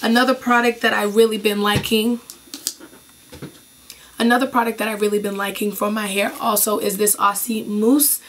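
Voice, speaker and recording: A young woman with a hoarse voice after being ill, chatting enthusiastically through a beauty product review in a small bedroom. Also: mid-range voice, flat delivery, normal speed, fairly bright tone, slight background noise. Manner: chatty, enthusiastic, repeats praise. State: hoarse, losing her voice after being sick. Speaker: beauty vlogger. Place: small bedroom with clothes racks behind.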